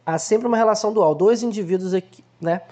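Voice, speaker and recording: Mellow-voiced man talking through a film. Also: high voice, a little fast, lo-fi sound. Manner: conversational, explains by acting out everyday examples. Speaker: film analysis host. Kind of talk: casual explanatory film commentary.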